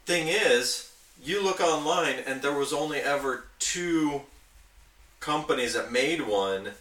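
A man's voice: on the high side, expressive, slow pace, bright, forceful tone. A man chatting casually as a podcast host, explaining his hobby with nerdy enthusiasm. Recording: roomy, echoey, distant from mic.

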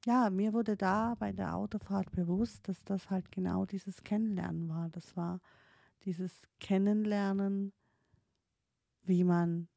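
Swiss-accented woman in her 30s, not a native german speaker, low mellow gravelly voice, stops and restarts a lot, dry close mic. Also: fairly even intonation, unhurried, no room sound. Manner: hesitant, many fillers and restarts, thinking aloud. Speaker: streamer. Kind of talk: reflective personal storytelling.

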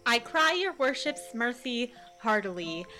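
A woman's voice: fairly high pitch, big pitch swings, measured pace, sharp tone, soft background music, exaggerated voice. A woman playing a foolish male weaver with pompous, overly polite manners in a comic Shakespearean radio play. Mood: pompous courtesy.

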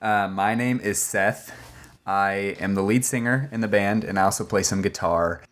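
A man's voice: on the low side, steady tone, normal speed, a bit bright. A young man from Singapore, a band's lead singer, chatting casually on a podcast and laughing easily. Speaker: lead singer of a band, guest.

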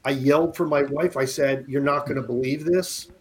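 A man's voice: mid-range voice, fairly even intonation, moderate pace. A man in his late fifties, a podcast guest, chatting casually and rambling with many restarts and jokes. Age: 50s. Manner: rambling, restarts often, jokey. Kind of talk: casual chat.

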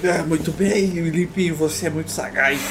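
A man's voice: fairly high pitch, fairly even intonation, moderate pace, music in the background. A man playing in a tabletop role-playing game, talking in casual in-character banter.

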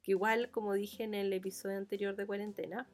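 A woman's voice: medium pitch, level tone, a little fast, a little mellow, soft background music. A woman with a Chilean accent from Santiago, chatting informally and loosely in a solo podcast monologue. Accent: Chilean Spanish (Santiago). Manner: informal, rambling, colloquial. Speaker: podcast host.

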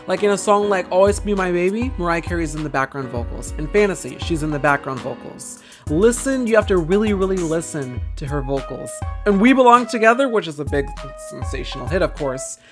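A woman's voice: on the low side, lively intonation, fairly quick, music in the background. A woman hosting a solo podcast in a casual, rambling way, with a gushing, theatrical and emphatic manner. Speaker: podcast host.

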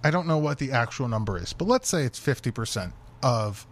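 A man's voice: medium pitch, animated, fairly quick. A man co-hosting a sports radio show, chatting casually and explaining things step by step. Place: radio studio.

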